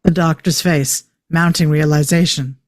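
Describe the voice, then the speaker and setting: Casting: script narrator for stage directions, medium pitch, close dry recording. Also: steady tone, moderate pace, no echo.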